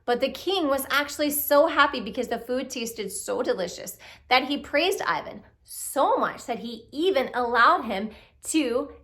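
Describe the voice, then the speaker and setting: A woman telling a children's puppet story in an expressive way, speaking directly to young listeners with questions and exclamations. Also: high-pitched. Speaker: storyteller, puppet show reader.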